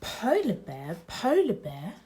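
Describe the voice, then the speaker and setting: Very slow, sing-song woman reading aloud. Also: fairly high pitch, wildly swooping pitch, warm tone. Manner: sing-song, drawn-out delivery for small children. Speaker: children's read-aloud host. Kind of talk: expressive picture-book read-aloud.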